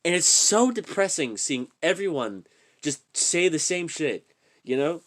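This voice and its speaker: A man's voice: fairly high pitch, expressive, unhurried, fairly bright tone. A young man ranting casually to camera, rambling, swearing and trailing off into mumbles. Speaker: channel host. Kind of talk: casual reaction rant.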